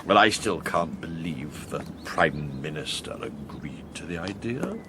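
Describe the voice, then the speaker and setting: An actor playing an urbane intelligence agent in a radio drama, languid, arch and plummy, with an upper-class English accent. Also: male voice, very deep voice, animated, unhurried, background noise. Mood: amused, urbane.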